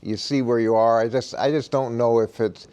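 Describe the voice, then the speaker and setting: A man arguing a point as a coach on a sports panel, halting and repeating words as he goes. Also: mid-range voice, fairly even intonation, mellow, clean tone. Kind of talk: unscripted sports debate.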